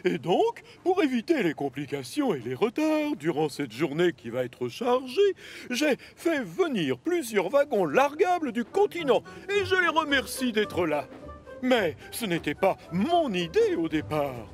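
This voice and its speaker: A man's voice: very high voice, huge pitch swings, a little slow, breathy edge, faint music behind, heavily acted. A man voicing a pompous, bossy railway controller with a formal, authoritative manner in a children's cartoon dub. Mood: brisk, commanding. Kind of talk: animated children's series dub.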